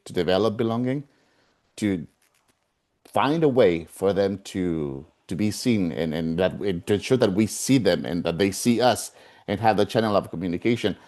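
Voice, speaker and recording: A man from Mexico unmutes on a video call to ask a question. He speaks thoughtfully, with frequent uhs and restarts, in a fairly low voice. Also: a little mellow. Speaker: audience member asking a question. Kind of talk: conversational question.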